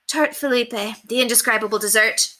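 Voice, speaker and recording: A woman's voice: fairly high pitch, moderate pace, sharp tone, pitched above the performer's own voice. A woman voicing a meek, polite young governess from a storybook, deferential and careful. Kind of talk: storybook character voice read aloud.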